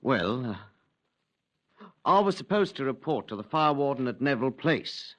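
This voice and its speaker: A man's voice: medium pitch, sing-song, a little slow, muffled recording. An evasive, fumbling man under questioning who hesitates and trails off, forgetting names on purpose. The voice sits in a plain middle range. It has the tone of a 1950s radio drama. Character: evasive male former fire watcher under questioning.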